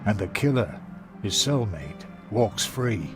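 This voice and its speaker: An actor playing a sober, fatherly gentleman confidant, speaking formally and with measure in a video game. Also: lowish voice, very animated, takes it slowly, over music. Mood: grave. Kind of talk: dramatic video game dialogue.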